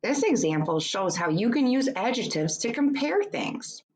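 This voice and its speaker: A woman reads an educational children's book aloud like a teacher, in a deliberate, instructive way, adding short explanations for young pupils. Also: a little high, very animated, darkish tone.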